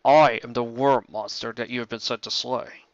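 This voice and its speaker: Man voicing an ancient, courteous, measured dragon, dull recording. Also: mid-range voice, steady tone, no room sound, close to an everyday voice. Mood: calm, explaining. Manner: formal, measured, deliberate. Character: ancient, huge male dragon, courteous, wise, unhurried.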